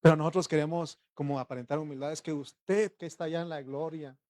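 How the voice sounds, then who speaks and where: Conversational preacher, rhetorical questions. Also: male voice, on the high side, steady tone, fast, darkish tone, no room sound. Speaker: pastor. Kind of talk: informal sermon.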